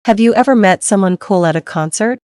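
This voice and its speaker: A woman reading English dialogue lines slowly and clearly for learners, repeating each line. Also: medium pitch, darkish tone, no echo, studio quality. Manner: slow, clear, each line read twice.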